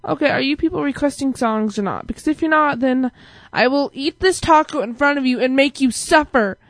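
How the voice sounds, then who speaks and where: Giggly high-voiced young woman chatting. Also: fairly quick, no echo. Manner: giggly, silly, jumps between stories. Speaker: host of an online talk stream. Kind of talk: rambling casual chat.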